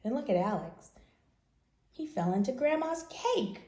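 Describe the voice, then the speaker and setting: A woman's voice: fairly high pitch, very animated, unhurried, warm tone, reverberant. A woman hosting a children's read-aloud, telling the story and explaining it in simple, repeated phrases for young listeners.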